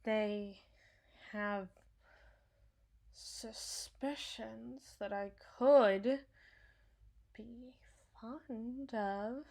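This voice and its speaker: A woman playing a cynical, menacing henchwoman with a hidden soft spot in an ASMR roleplay, brooding and sardonic, sometimes sinking toward a whisper. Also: mid-range voice. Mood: brooding, tender. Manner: slow, brooding, sardonic, dropping into breathy whispers. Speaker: audio roleplay performer.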